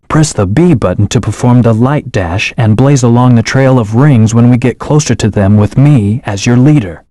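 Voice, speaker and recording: A cool, aloof male hedgehog hero from a video game, voiced by a man in a mid-pitched voice. He speaks in calm, terse commands, and the studio sound is dry and close. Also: dry recording, lightly acted. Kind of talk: video game voice acting, tutorial hints. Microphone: studio microphone.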